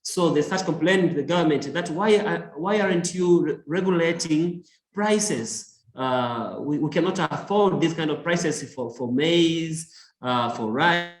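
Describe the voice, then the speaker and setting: Tanzanian guy on an online call, bit high pitched, halting, really echoey room. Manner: halting, searching for words. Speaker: environmental organization worker, audience participant. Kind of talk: spontaneous discussion contribution. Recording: roomy echo on online call.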